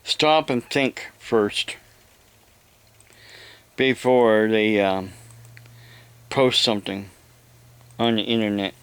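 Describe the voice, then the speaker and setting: An older man with an American accent delivers a rambling monologue, halting and deliberate, often repeating his phrases. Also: on the low side, steady tone, clean tone, no echo. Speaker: evangelist vlogger.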